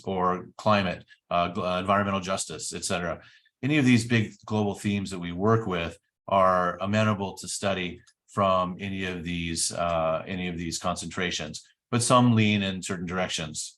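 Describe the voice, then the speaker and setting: A man's voice: deep voice, fairly bright tone. A middle-aged man giving an informational presentation about a study program, explaining step by step with frequent fillers. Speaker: program director.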